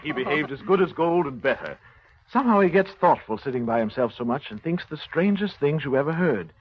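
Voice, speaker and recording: Humble devoted father, husky, tender, dull old radio recording. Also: fairly high pitch, expressive, a little fast, no echo. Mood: tender, moved. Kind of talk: 1930s radio acting.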